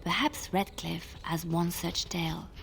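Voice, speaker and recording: An actress playing a gentle, wistful young woman with a lyrical storytelling manner in a fantasy game. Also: on the low side, fairly even intonation, unhurried, creaky, faint music behind, low-quality recording. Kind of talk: fantasy video game dialogue.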